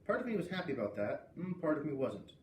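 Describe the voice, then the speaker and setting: A man reading a children's book aloud as an expressive storyteller. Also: fairly high pitch, fast. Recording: roomy, distant mic with faint noise.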